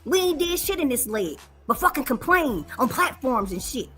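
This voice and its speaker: A woman in her forties argues with heat and swears freely, hammering the same phrase again and again to drive it home. Her voice sits high and comes across bright and forceful. Soft music plays underneath her talk. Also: very animated, normal speed. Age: 40s. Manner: emphatic, profane, repeats phrases for stress. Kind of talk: heated casual debate.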